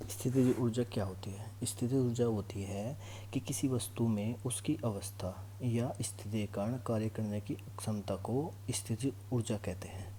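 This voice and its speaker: Casting: male teacher, dark relaxed tone on the low side, informal explaining style, noisy humming recording. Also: moderate pace. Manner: instructional, repeatedly checks understanding. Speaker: physics teacher for exam students. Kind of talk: informal classroom lesson, explaining to students. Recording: clear background noise with hum.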